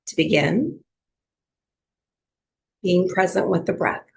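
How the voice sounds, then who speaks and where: Woman, low creaky voice, yoga teacher, guided meditation, gentle and soothing, long pauses. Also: expressive, slight background noise.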